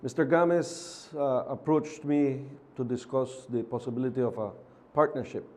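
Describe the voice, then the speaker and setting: A man who is a city mayor, speaking as a TV studio guest in measured, formal terms. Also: medium pitch, warm tone. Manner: measured, formal civic phrasing. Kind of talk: TV interview guest.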